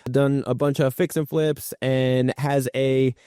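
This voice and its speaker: A man's voice: medium pitch, flat delivery, takes it slowly, dark tone, clear, resonant tone, no room sound, studio quality. A man hosting a podcast in a casual, upbeat, encouraging interview style. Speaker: podcast host. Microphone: remote virtual call recording.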